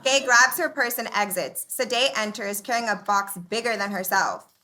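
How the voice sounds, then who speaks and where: A woman narrating stage directions at a live comedy read, in a brisk, declarative way. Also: mid-range voice, bright, forceful tone.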